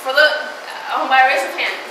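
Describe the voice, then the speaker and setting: A young woman from the Dominican Republic, a native Spanish speaker, talks to a crowd in a loose, chatty way. Her voice sits a little high. It carries from a distance through a very echoey hall with steady noise behind it. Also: moderate pace. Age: young adult. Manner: casual, chatty, with frequent fillers and laughs. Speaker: student speaker. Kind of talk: motivational talk. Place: lecture hall before a live audience. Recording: very echoey hall, mic far off, noisy.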